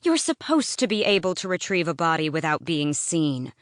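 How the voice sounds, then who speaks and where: A woman voicing a hot-tempered young female assassin in an audiobook, sharp and challenging. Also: low voice, very animated, normal speed, no room sound, clean studio sound. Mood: growling, furious.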